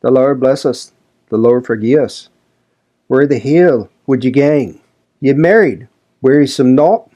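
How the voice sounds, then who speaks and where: A man's voice: mid-range voice, unhurried, dark tone, voice pushed up, lightly acted. A man doing a scolding old manservant. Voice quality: broad rustic dialect, scolding. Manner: scolding, preachy. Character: grumbling old male manservant, sour and pious.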